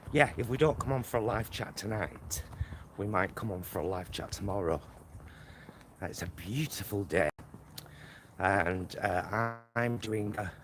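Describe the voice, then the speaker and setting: Chatty man walking outdoors. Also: medium pitch. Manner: chatty, rambling, lots of fillers. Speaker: vlogger. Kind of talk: casual walking vlog chat. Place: outdoors on a street in his neighbourhood. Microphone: phone on a selfie stick. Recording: phone recording with street noise. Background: traffic and street noise.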